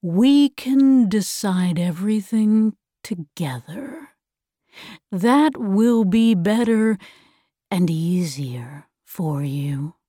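A woman voicing a sinister, taunting man who whispers slowly and patiently in a storybook reading. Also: mid-range voice, animated, mellow, no echo, studio quality. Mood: sinister coaxing. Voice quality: breathy, whispering. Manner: slow, drawn-out, taunting. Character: sinister, patient, whispering man with red eyes, mind-controlling.

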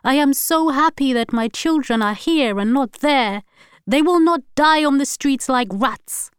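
A woman's voice: lively intonation, unhurried, dry recording, studio quality. A woman doing a screaming, furious wife. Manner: shrill, screaming. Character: furious shouting Bengali wife and mother.

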